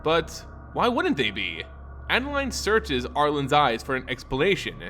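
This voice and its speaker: Expressive man narrating, lively pitch. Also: normal speed, slight background noise. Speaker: narrator and host of a lore channel. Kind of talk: scripted story narration and recap.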